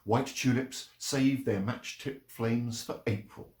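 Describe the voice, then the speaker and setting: A man's voice: mid-range voice, steady tone, slow pace, darkish tone. A man reciting poetry aloud in an echoing space. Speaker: poetry reader. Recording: roomy, echoing sound.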